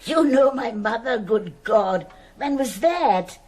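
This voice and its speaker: A woman's voice: on the high side, lively intonation, slow pace, darkish tone. An actress playing a stubborn, judgmental older woman in a radio drama. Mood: surprised, chatty. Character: stubborn, defensive old German woman, gossipy neighbour.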